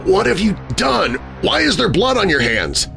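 A man voicing an older farmer, gruff and frightened, stammering and growling through his pain in a horror story. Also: sits high, big pitch swings, normal speed, sharp tone, soft background music, pitched above the performer's own voice, full character voice. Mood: angry, pained. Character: agonized older rural farmer father, gruff, frightened, angry.

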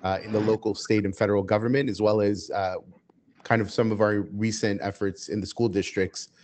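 A fairly low-pitched man whose first language was Hebrew speaks in a measured, even way as an interview guest. He pauses on "uh" often but keeps a calm, businesslike flow. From United States. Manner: measured, steady, frequent "uh" fillers. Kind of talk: casual interview answers.

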